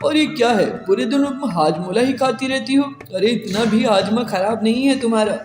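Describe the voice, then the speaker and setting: A man voicing an exasperated young husband in a cartoon, in a loud, scolding manner. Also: very high voice, moderate pace. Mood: angry. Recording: echoey room with long reverb and music.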